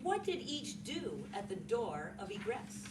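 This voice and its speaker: A woman's voice: mid-range voice, very animated, measured pace. A woman giving a dramatic reading from a literary text, posing formal questions. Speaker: literary reader. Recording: roomy echo, distant mic, clear noise.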